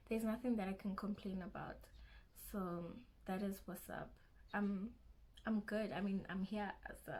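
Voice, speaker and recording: A young woman with a South African accent, chatting casually and a little nervously in her first vlog. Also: mid-range voice. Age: young adult. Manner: nervous, chatty, self-conscious, restarts often. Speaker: vlogger.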